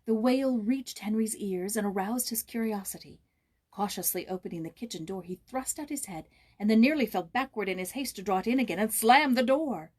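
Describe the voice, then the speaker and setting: Female audiobook reader, slightly husky tone, expressive and theatrical storytelling delivery. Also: medium pitch, a little fast. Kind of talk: dramatic scripted storybook narration.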